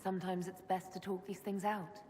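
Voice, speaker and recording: A woman acts a young female commander in a video game, steady and caring. Her slightly low voice is even and reassuring. A long hall reverb surrounds it, over faint noise. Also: moderate pace, natural voice. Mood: calm, supportive. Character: calm, caring young woman leading a military order. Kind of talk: video game cutscene acting.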